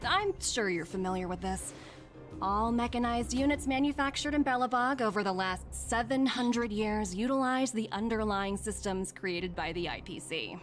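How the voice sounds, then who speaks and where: An actress playing a confident young female corporate emissary in a game, smooth-talking, poised and persuasive. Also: medium pitch, expressive, moderate pace, natural voice. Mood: assured, persuasive. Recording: light music behind the voice.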